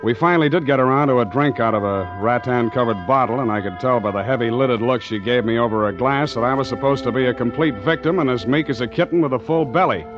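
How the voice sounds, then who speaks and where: An actor playing a tough, wry ship's master who narrates his adventure in deadpan 1940s radio noir style. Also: medium pitch, fast, hardly any pauses, close to an everyday voice. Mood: wry narration. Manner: deadpan, wisecracking. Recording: muffled 1940s radio recording with music.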